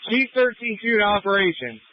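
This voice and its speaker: A man's voice: high voice, big pitch swings, fairly quick, no echo. A man working as a fire chief, giving direct, clipped commands over a two-way radio in emergency traffic. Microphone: radio handset. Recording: muffled lo-fi fire radio transmission.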